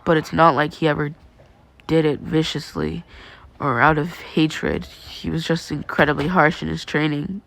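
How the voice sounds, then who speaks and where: A woman voicing a stoic, awkward teenage boy in an audio story, flat, quiet and sincere. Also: low-pitched, moderate pace, gravelly. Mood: subdued.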